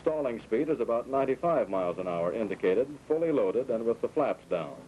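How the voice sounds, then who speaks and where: A man's voice: medium pitch, animated, fairly quick. An American man giving scripted, step-by-step instruction as a flight instructor in a 1940s training film. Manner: clear, orderly step-by-step instructing. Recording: muffled, noisy 1940s film soundtrack.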